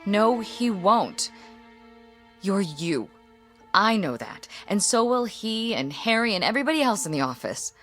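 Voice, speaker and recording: An actress playing an exasperated wife in a radio drama, brisk and dismissive but placating her husband. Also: medium pitch, sing-song, a bit bright, soft background music.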